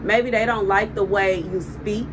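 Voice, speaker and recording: A woman with a Southern country accent speaks to her phone camera from an indoor room. Her delivery is emphatic and repetitive as she gestures, and a clear background hum sits under her voice. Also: medium pitch, steady tone, measured pace. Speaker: prophetic video host. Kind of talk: casual spoken prophetic message. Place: indoor room before window blinds. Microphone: phone camera on a desk. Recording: clear room noise behind.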